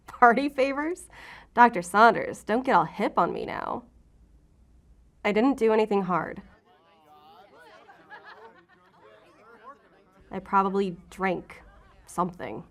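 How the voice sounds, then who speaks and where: An actress playing a guarded, defiant law-enforcement agent in an audio drama, with a sarcastic, defensive way of talking. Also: female voice, mid-range voice, animated, unhurried, long pauses. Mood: teasing, deflecting.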